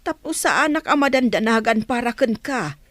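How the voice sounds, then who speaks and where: Woman playing a worried wife, very expressive. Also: fairly high pitch, moderate pace, dry recording. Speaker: actress in a radio drama. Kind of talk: Ilocano radio drama acting.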